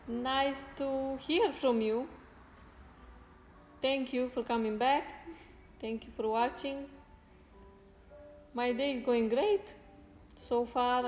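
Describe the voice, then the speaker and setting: A woman whose first language is Spanish chats with her stream viewers in a fairly high voice, taking her time and stopping to hunt for the right words. Her voice bounces around a roomy space, with faint music playing underneath. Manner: unhurried, pauses to search for words, light laughs. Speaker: fitness streamer. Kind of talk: casual live-stream chat with viewers.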